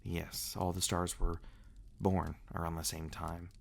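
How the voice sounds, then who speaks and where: A man acting as a reclusive male vampire with a low-pitched voice, speaking in a soft, halting murmur. He is tender and protective, in the close, intimate manner of an ASMR fantasy roleplay. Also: level tone, vocal fry, heavily acted. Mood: solemn, thoughtful.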